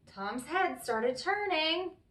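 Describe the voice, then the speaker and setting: A woman reading a children's story aloud as an expressive storyteller. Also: high-pitched, takes it slowly. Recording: roomy, echoey, distant microphone.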